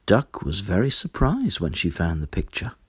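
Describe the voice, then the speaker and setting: A man narrating a children's story in an expressive, deliberate storytelling manner. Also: sits low, breathy edge, no echo, clean studio sound. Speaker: storybook reader.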